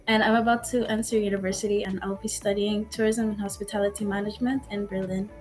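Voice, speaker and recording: A woman's voice: mid-range voice, fairly even intonation, fast, darkish tone, faint music behind. A young woman from Mozambique, a podcast guest answering casually with many likes and restarts. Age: young adult.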